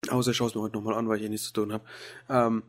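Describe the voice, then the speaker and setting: A man's voice: fairly low pitch, flat delivery, rapid-fire. A man co-hosting a casual anime podcast, rambling with many fillers and restarts. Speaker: podcast co-host. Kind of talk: casual chat about anime.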